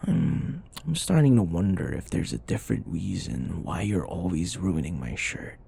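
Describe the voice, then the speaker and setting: Man acting a cocky, flirty office rival, swinging pitch. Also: huge pitch swings, dark tone, full character voice. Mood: seductive, smug.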